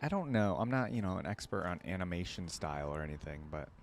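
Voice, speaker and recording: A man's voice: low voice, expressive, quick pace, slight background noise. A man giving casual, off-the-cuff reaction commentary as a streamer.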